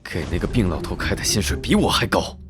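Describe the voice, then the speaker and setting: An actor playing a teasing, scheming young noble duke, self-assured and drawling, in an animated drama dub. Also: male voice, deep voice, fast. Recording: background music under dialogue.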